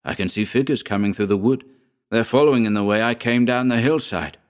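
A man's voice: low-pitched, normal speed, natural voice. A man voicing an injured, proud nobleman who is weary and earnest as he relents in an old feud. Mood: excited.

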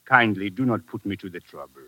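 An actor playing a formal, suspicious French police inspector with a French accent in an old-time radio drama. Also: male voice, fairly low pitch, heavy background noise, lightly acted. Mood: veiled threat. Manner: formal, measured, politely menacing. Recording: dull, lo-fi old radio recording.

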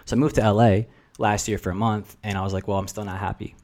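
A man's voice: on the low side, level tone, quick pace, darkish tone. A man in his twenties from New Jersey, whose first language is Russian, talking in a rambling, reflective way as a podcast guest. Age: 20s. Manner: reflective, rambling, filler-heavy. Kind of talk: casual chat.

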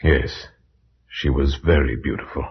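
An actor playing a grave, dread-filled gentleman who narrates and acts as a loyal friend in vintage radio horror, solemn, measured and theatrical. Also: a bass voice, fairly even intonation, slightly rough, exaggerated voice. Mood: grave, anxious. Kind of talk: 1940s-50s radio horror narration and acting. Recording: dull vintage radio recording, loud music.

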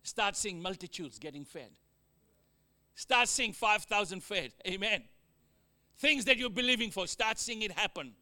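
A man with a Fijian accent preaching a sermon, calling out amens to the congregation, mixing joking asides with exhortation and swinging from loud to quiet. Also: high voice, animated, a little slow. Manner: exhorting, with frequent amens and call-outs to the congregation.

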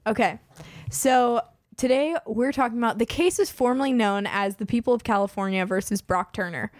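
A woman's voice: on the high side, normal speed, dry recording. Female host, 20s, chatty and casual, voice fraying, dry room. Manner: chatty, peppers speech with "like". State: losing her voice. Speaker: podcast host. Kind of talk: casual true-crime podcast chat.

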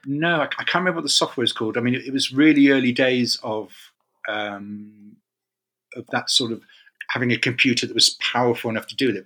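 Middle-aged guy from the uk, bright forceful voice, keeps restarting his sentences. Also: mid-range voice, rough recording. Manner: rambling, many restarts and self-corrections. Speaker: guest, games magazine editor. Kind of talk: casual interview chat.

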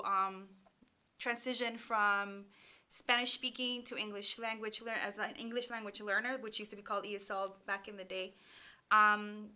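A woman speaks as an interview guest. Her tone is bright and forceful, her pitch sits mid-range, and she talks candidly, often restarting her sentences. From Los Angeles, California, USA. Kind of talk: casual interview storytelling.

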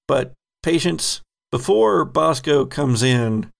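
Male GM, gravelly and slow, measured scene-setting narration. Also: fairly high pitch, expressive. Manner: unhurried, descriptive narration with sound effects. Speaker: game master. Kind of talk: narrating a tabletop game.

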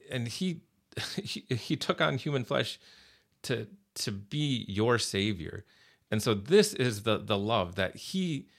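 A man's voice: fairly low pitch, fairly bright tone. A man speaking as a pastor guest on a podcast, earnest, often repeating words as he gathers his thoughts. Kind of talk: casual religious podcast conversation.

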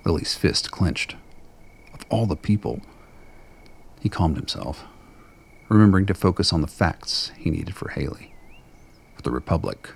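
Very deep, gravelly male narrator. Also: expressive, unhurried, pauses often. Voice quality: gravelly, husky. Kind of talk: scripted dramatic story narration.

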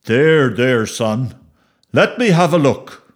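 Man as calm father, measured delivery, unhurried, practical, reassuring, story narration character. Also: medium pitch.

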